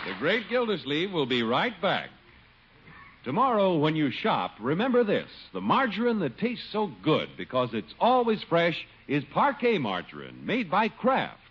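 Animated male radio announcer, highish pitch. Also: a little slow, slight background noise. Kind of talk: 1950s radio announcing, scripted. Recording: dull old radio recording. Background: faint music.

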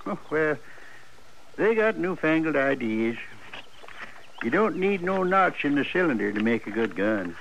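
A man's voice: a little high, sing-song, a little slow, creaky, long pauses, a bit bright, lo-fi sound, heavily acted. An actor playing a talkative, nostalgic old Western man who rambles in folksy frontier speech in a radio drama. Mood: fond, reminiscing. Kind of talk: 1950s radio western acting. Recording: noisy old radio recording.

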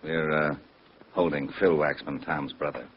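A gruff, low police lieutenant, blunt and worn down. His husky voice comes through a muffled vintage radio crime show. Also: fairly even intonation, measured pace, exaggerated voice. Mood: weary, exasperated. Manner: blunt, exasperated. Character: weary, gruff male police lieutenant with a headache. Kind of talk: old radio crime drama acting. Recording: muffled old radio broadcast.

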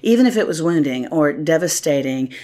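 A woman's voice: deep voice, expressive, fairly quick, warm tone. A woman hosting an interview conversation, affirming her guest often and asking reflective faith-based questions. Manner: affirming, frequent "right" and "yeah" while listening. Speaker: host.

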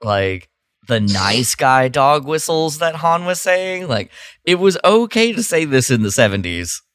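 A man's voice: a little high, big pitch swings, measured pace, a bit bright, clean tone, no echo, clean studio sound. A man co-hosting a casual podcast chat, speaking candidly with frequent "like" fillers and laughter. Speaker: podcast co-host.

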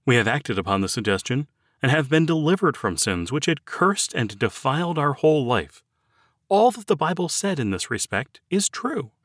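A man narrating a written devotional sermon in a measured, earnest, formal oratorical manner. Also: mid-range voice, a bit bright, no echo, studio quality. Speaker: audiobook narrator reading a sermon. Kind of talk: scripted devotional sermon reading.

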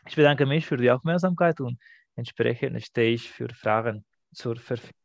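A man fields questions after a talk, speaking in a measured, hesitant way as he thinks aloud. His voice sits in a middle range. The recording is dry and a little dull. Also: medium pitch, gravelly, no room sound. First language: Catalan. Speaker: presenter answering questions. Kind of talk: academic talk and Q&A.

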